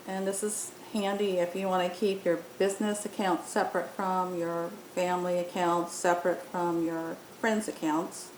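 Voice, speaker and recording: Female demo presenter, medium pitch, explains software step by step, unscripted, in an echoey room. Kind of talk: unscripted software tutorial narration. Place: indoor room, voice-over on screen recording. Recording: roomy echo, faint rumbling noise.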